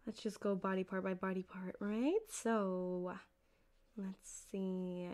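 A woman voicing a flirtatious, sweetly menacing yandere cyberpunk doctor in an ASMR roleplay, soft-spoken and halting, often slipping into a whisper, close on a binaural mic. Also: mid-range voice, fairly even intonation, lightly acted. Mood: coy, clinical. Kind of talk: ASMR roleplay addressed to the listener. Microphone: close binaural microphone.

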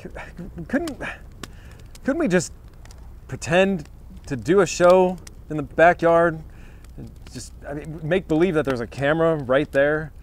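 A man's voice: on the high side, expressive, measured pace, long pauses. A man in his thirties co-hosting a comedy sports show outdoors, stammering sheepishly in sketches and bouncing into presenter patter. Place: outdoors in snow beside a stadium.

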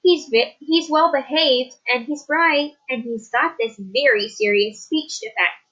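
An earnest, polite young boy who pleads his case with care, as a woman reader puts him on. The voice is high and bright, and the recording sounds slightly dull and lo-fi. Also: unhurried.

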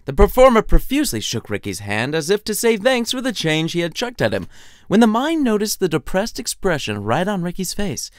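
A man's voice: high-pitched, huge pitch swings, a little fast, no room sound. A man narrating a scripted story in a playful, wry, theatrical storytelling manner. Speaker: narrator and author.

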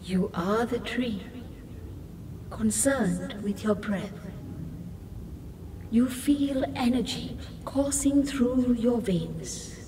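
A woman guiding a breathing meditation in a soothing, unhurried way, as scripted narration. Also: on the high side, noisy background.

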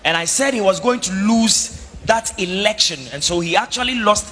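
A man with a Ghanaian accent interpreting a sermon into English in a steady, formal way, on a handheld mic in a church hall. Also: sits high, normal speed. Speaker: interpreter for the pastor. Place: large indoor church hall. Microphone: handheld microphone. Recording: echoey church hall, faint noise.

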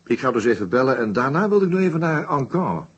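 An actor playing an unflappable, wry police inspector in a radio play, husky and nonchalant. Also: male voice, mid-range voice, sing-song, fast. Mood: wry, relaxed. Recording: slightly dull radio drama recording.

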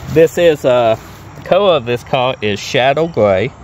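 Middle-aged man, medium pitch, plain folksy talker doing a casual how-to vlog outdoors, faint background noise. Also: expressive, measured pace. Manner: plain-spoken, folksy nonstandard grammar. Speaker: car restoration vlogger. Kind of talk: casual hands-on walkthrough. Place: outdoors in a grassy gravel yard. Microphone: handheld phone at arm's length.

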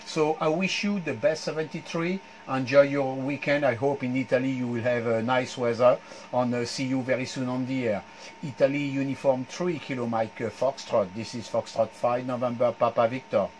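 A man's voice: mid-range voice, steady tone, moderate pace, a little hiss or hum, low-quality recording. A middle-aged man with a French accent making amateur radio contacts, spelling out call signs phonetically. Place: small home radio room. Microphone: headset.

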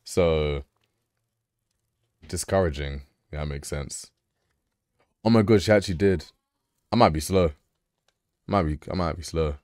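Low-voiced male streamer, roasting a clip with profane jokes, dry sound. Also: a little slow, pauses often, dry recording. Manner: loud, mocking, profane banter. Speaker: streamer reacting to a video. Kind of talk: casual live-stream commentary.

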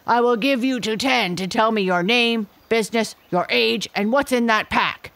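A woman's voice: moderate pace, creaky, fairly bright tone. A woman doing a fierce blustering gorilla. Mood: demanding. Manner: booming, blustering. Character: fierce bossy male gorilla, loud and impatient.

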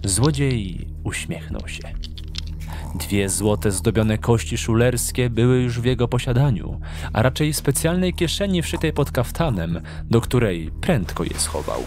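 A man narrating a scripted audio drama with measured storytelling delivery. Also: mid-range voice, animated, music in the background.

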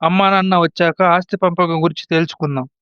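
A man's voice: on the high side, steady tone, fast talker, fairly bright tone, smooth, no echo, natural voice. A man playing a hot-headed, resentful younger son in a family story, in slightly muffled sound. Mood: angry, fed up. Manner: aggrieved, confrontational.

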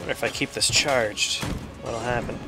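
Male gaming host, average pitch, casual and playful, self-mocking delivery over prominent music. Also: steady tone, normal speed, gravelly. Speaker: show host, gamer. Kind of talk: casual let's-play commentary. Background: music and game gunfire.

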